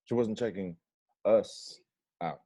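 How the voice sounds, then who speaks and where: An incredulous, analytical housemate played by a man in a comedy read. His voice is fairly low and soft-edged, dark in tone. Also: slow pace. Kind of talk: remote table read of a comedy web series script.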